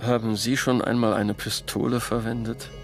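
A man plays an aloof, self-assured tycoon of the arms trade in a radio play. His mid-pitched voice has a strong gravelly creak, and he muses in measured, dryly ironic phrases. Music runs clearly behind him. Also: flat delivery, natural voice. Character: self-assured wealthy arms manufacturer, aloof garden owner. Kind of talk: radio drama acting.

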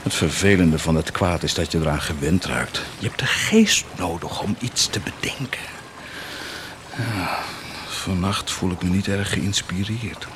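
An actor playing a cruel, blasphemous warlord in a radio drama, mocking and grandiose, declaiming sardonically with dramatic pauses. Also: male voice, deep voice, noisy background. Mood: sardonic, cruel. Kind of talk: theatrical radio drama acting.

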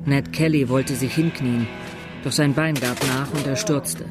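An actor playing a chronicler, narrating in the third person for a radio drama. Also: male voice, medium pitch, fairly even intonation, a little slow, lightly acted. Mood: dramatic. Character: chronicler reporting a gunfight. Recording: loud music under the voice. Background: loud music.

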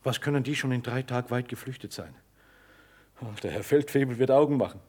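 A man acting in a radio drama as a naive, simple-hearted young soldier, guileless and excitable. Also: mid-range voice, fairly quick, slightly rough, close to an everyday voice. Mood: pleased musing.

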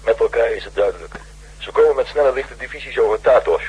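Eager staff officer, vintage radio play: mid-pitched, very husky, brisk military delivery down a phone line. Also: male voice, expressive, a little mellow. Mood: eager, excited. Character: brisk, eager male army liaison officer. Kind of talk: 1960s Dutch radio drama acting.

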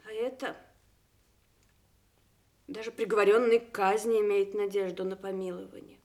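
An actress playing a general's devoted, anxious wife in a Soviet radio play, with an expressive, emphatic manner. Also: female voice, medium pitch, moderate pace, lightly acted. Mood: grave, anxious.

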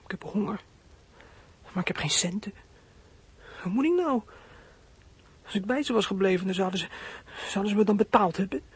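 A high-pitched, very husky boy's voice, from a radio play. He is a frightened young runaway, anxious and scheming. He thinks aloud in halting, breathy phrases. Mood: hushed, uneasy. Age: teens. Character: frightened, scheming fourteen-year-old runaway boy. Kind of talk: radio play acting, monologue.

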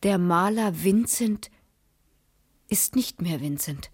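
An actress playing a sharp, insistent female private detective who probes and reasons, in a German radio crime drama. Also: fairly low pitch, animated, slow pace, husky, no room sound, exaggerated voice. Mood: reasoning. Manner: probing, insistent.

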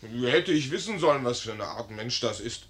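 An actor playing a young, hot-headed and naive army lieutenant, indignant and declamatory, in a 1950s German radio play. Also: male voice, medium pitch, lively intonation, a little fast, fairly bright tone, lightly acted. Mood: outraged.

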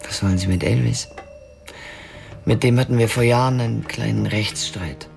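Very creaky, bitter, haughty widow. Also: female voice, rumbling low, moderate pace, a little mellow, heavily acted. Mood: cold, challenging. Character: bitter, haughty, betrayed widow with icy composure. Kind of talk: German radio drama acting. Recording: music behind the voice.

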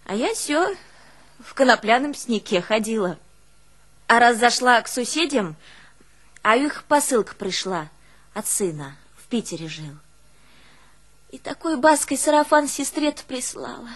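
A woman's voice: on the high side, sing-song, a little slow, long pauses, fairly bright tone, heavily acted. An actress playing an old peasant woman reminiscing in a folksy, emotive monologue, with a northern Russian village dialect. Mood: wistful. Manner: folksy, emotive, with sighing exclamations and wailing quotes. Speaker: stage actress in a one-woman play. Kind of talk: dramatic monologue, folksy storytelling.

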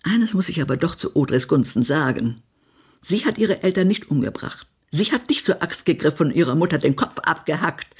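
An actress playing a spiteful, haughty small-town society gossip in a German radio play, blunt and imperious. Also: female voice, sits low, expressive, a little fast, a little mellow, breathy edge, full character voice. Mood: indignant, venomous. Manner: blunt, acid, imperious, swearing.

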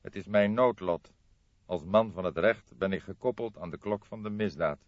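A grave older male detective from an audiobook, voiced by a male reader. He speaks deliberately and thoughtfully, as someone weighing each fact. The sound is dry and a little muffled. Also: on the low side, no room sound, natural voice. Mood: apologetic.

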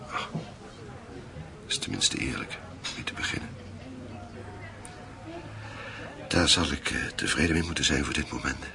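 A man playing a middle-aged, passionate and frustrated lover in a radio drama, speaking in an earnest, halting, intimate way. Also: a bass voice, vocal fry, crowd noise. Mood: resigned. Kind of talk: naturalistic radio drama acting.

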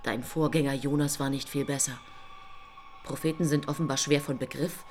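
A woman's voice: rumbling low, fairly even intonation, normal speed, darkish tone, slight background noise. A woman playing a small, teasing angel in a radio play, with an ironic, coolly taunting manner.

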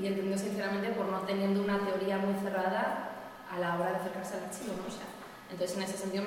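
A woman moderating a seminar. She talks in an even, flat tone and often stops and restarts her sentences. She is picked up by a distant microphone in an echoey hall with a long reverb and steady background noise. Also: medium pitch. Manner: halting, full of pauses and restarts. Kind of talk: introducing a seminar session. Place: seminar room. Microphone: distant room microphone. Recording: echoey hall from far off, noisy.

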